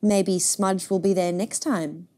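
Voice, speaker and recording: A woman's voice: mid-range voice, fairly even intonation, takes it slowly, darkish tone, close to an everyday voice. A woman voicing a timid, polite, lonely young boy in a picture book, wistful and earnest. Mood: shyly delighted. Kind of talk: picture book read-aloud.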